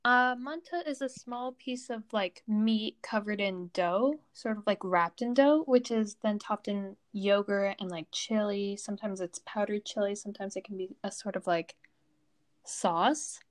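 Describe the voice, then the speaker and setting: A woman's voice: mid-range voice, unhurried. A teenage girl from Turkey co-hosting a student podcast, chatting casually with lots of fillers.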